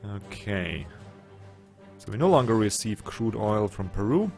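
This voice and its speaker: A man's voice: lowish voice, very animated, a little slow, vocal fry, darkish tone, soft background music. A young man, not a native English speaker, casually commenting on his strategy game as he plays, thinking aloud and joking with his units. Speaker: let's play host.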